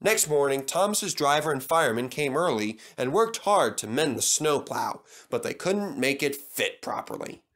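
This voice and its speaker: A man's voice: mid-range voice, lively intonation, normal speed, few pauses, fairly bright tone. A man reading a children's story aloud as a scripted narration.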